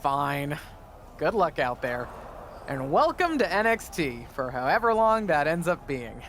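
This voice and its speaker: Game role: conversational male ex-wrestler turned producer, animated, raised pitch. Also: unhurried, a little hiss or hum. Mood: affable. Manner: conversational, politely probing. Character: affable male backstage producer, retired wrestler. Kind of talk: video game cutscene dialogue.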